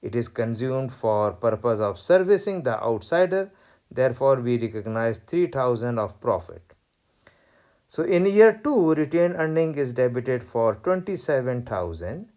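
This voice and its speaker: Guy teaching accounting, slow and deliberate, middle pitch, walks thru exercises step by step. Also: darkish tone. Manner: deliberate, repeats key points. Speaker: accounting lecturer. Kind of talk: instructional lecture, explaining worked exercises step by step. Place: voice-over recorded over tablet screen.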